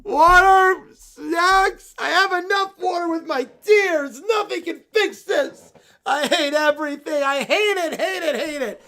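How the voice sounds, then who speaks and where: A high, loud little bear boy in a full tantrum, wailing and shouting through tears, as a woman puts him on. His voice climbs shrill and cracks with sobbing, and he drags out his words. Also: animated, takes it slowly, creaky, few pauses, heavily acted. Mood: furious wailing.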